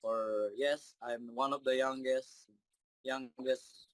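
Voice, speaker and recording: A young man, answering interview questions in a shy, halting way with many fillers. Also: mid-range voice, fairly even intonation, no echo, rough recording. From Philippines. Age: 20s. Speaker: interview guest, singer.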